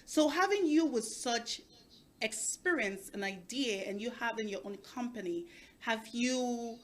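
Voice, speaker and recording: Woman host from cameroon, kinda high voice, cheers her guest on, asks long rambling questions. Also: animated, measured pace. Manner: encouraging, long winding questions. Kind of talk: interview host.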